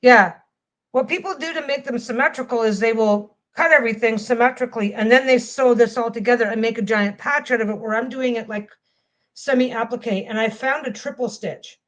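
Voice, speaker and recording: A woman's voice: on the high side, a little fast, low-quality recording. Rambling woman chatting while she sews. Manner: rambling, restarts phrases often. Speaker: quilting vlogger. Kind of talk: casual chat while sewing.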